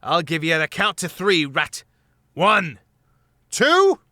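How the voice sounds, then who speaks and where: A man's voice: high-pitched, sing-song, slow pace, bright, forceful tone, no room sound, clean studio sound, pitched above the performer's own voice, exaggerated voice. A man voicing a cocky male mouse thief who speaks haughtily and jauntily. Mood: threatening.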